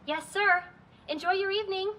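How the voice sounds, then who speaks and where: An actress in an English anime dub playing a polite, friendly young junior employee with a courteous, deferential manner. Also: female voice, very high-pitched, normal speed, pushed and bright. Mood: polite warmth.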